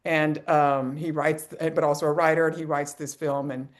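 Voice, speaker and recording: Halting speaker in a casual film chat. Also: mid-range voice, flat delivery. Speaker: film club member. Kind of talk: casual group discussion, informal film recommendation.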